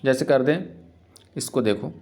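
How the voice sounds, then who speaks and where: A male teacher works through grammar errors at an unhurried, measured pace. He explains points conversationally and then reads each English example sentence out slowly and deliberately, as if dictating. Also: medium pitch, mellow. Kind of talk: exam-prep grammar lesson.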